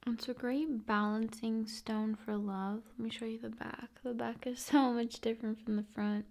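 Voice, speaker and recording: A woman speaking softly and often in a whisper, in an intimate, soothing ASMR style addressed to the viewer. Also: a little high, little pitch movement, moderate pace. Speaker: ASMR video host.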